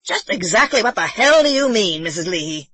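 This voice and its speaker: A suspicious, irritable middle-aged man, as a woman reader puts him on, lightly voiced in a husky, dry tone. He questions sharply, and his pitch swings widely when he presses. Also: very animated, moderate pace, no echo, full character voice. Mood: agitated. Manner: sharp, interrogating.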